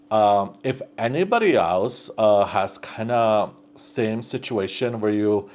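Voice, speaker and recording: Rambling young man, low voice. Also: darkish tone. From Bosnia and Slovenia region, raised in North America from thirteen. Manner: rambling, many fillers and restarts. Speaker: vlogger. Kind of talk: casual vlog chat. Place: at home, working on a build project.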